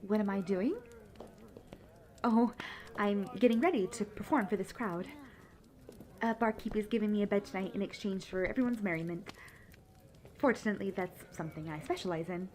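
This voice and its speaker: A woman playing a cheerful, polite young travelling bard who chats brightly and directly to the listener in a fantasy audio roleplay. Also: mid-range voice, normal speed, pauses often, a little hiss or hum, close to an everyday voice. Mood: cheerful, chatty. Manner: chatty, bubbly, polite.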